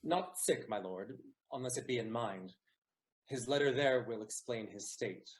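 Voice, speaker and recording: An actor playing a grave, loyal gentleman messenger in a Shakespearean audio play, speaking formally. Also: medium pitch, animated, unhurried, lightly acted. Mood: courteous, sombre. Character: grave, loyal Venetian gentleman messenger bearing bad news.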